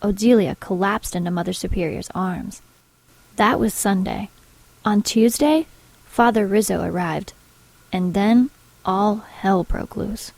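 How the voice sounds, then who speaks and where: Actress as an earnest nun, close and dry. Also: female voice, mid-range voice, creaky, a little mellow, dry recording, low-quality recording. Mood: grave narration. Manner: measured storytelling, primly earnest. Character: earnest, proper nun recounting strange events. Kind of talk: dramatic narration in audio drama.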